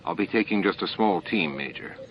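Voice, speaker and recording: Man reading as a calm low-voiced starship captain. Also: a little fast. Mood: calm, quiet. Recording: muffled, band-limited tape audio.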